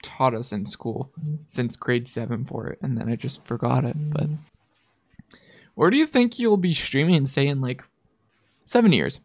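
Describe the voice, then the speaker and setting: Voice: medium pitch, moderate pace, vocal fry, pauses often, a little mellow. A livestream host chatting casually with a guest in an informal, encouraging way. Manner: informal and encouraging, with 'man' interjections. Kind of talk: casual chat.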